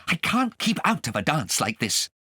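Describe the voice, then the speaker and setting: Man voicing excitable cat companion, pitched up. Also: moderate pace, breathy edge, dry recording, clean studio sound, full character voice. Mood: excited.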